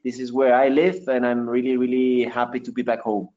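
A mid-pitched man in his thirties from Ecuador answers an interviewer in careful second-language English. He talks haltingly, full of fillers and false starts, and the recording sounds muffled and lo-fi. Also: level tone, a little mellow. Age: 30s. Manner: halting, many fillers and restarts. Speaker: mountaineer guest.

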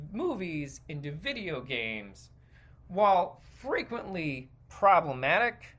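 A man's voice: on the high side, fairly bright tone. A man hosting a podcast, delivering a casual, opinionated rant in a rambling, digressive and emphatic way. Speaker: podcast host.

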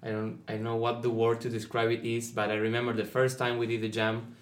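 A man's voice: medium pitch, completely flat delivery, fast, echoey room. A man from Buenos Aires, a mandolin player, joking playfully in a casual interview. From Buenos Aires, Argentina.

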